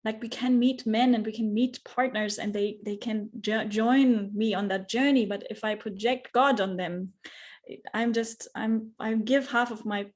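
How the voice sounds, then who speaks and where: A woman guest speaking earnestly about spiritual experience, searching for words and slowing down on key phrases. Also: on the high side, steady tone. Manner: earnest, searching, many restarts and fillers. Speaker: guest, yoga teacher and facilitator. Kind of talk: reflective spiritual conversation.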